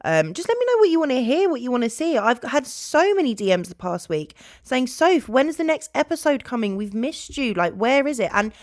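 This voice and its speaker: A woman's voice: high-pitched, a little fast, few pauses, no echo. Chatty young British woman, dry close sound. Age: 20s. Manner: chatty, animated, jumps between topics. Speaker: podcast host. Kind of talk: rambling casual solo chat to viewers.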